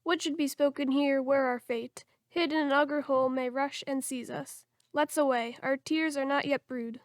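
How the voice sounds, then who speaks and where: A woman's voice: high voice, steady tone, moderate pace, dry recording, clean studio sound, lightly acted. A woman playing an anxious, suspicious young prince in an amateur Shakespeare reading.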